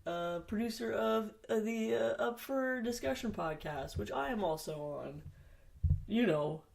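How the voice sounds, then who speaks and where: A woman's voice: fairly high pitch, lively intonation, measured pace, gravelly, a little mellow. A woman hosting a solo podcast from a home basement studio, sounding nasal and sniffly with a cold and talking in a rambling, self-mocking way with some swearing. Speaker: podcast host. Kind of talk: solo casual podcast chat.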